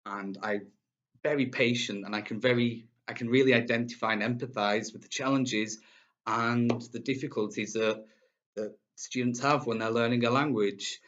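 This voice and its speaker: A man's voice: mid-range voice, fairly even intonation, normal speed, fairly bright tone. A young man from Manchester introduces himself as a teacher. He speaks earnestly and unhurriedly, working out his phrasing as he goes. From Manchester, England. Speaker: English teacher. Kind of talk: self-introduction to camera. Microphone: built-in webcam microphone. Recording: dull lo-fi webcam audio.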